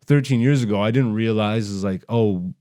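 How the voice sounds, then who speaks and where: A man's voice: lowish voice, moderate pace, dark tone, clear, resonant tone. A man from Canada, a stand-up comedian hosting a podcast, talks in a casual, blunt, slangy rant full of swearing. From raised in Canada.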